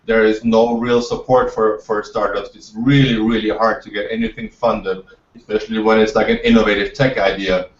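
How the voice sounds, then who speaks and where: A man's voice: lowish voice, level tone, normal speed. A man from Austria, talking as an entrepreneur guest, keen and full of restarts and repeated words. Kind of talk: interview answers. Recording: dull, roomy, distant from the mic.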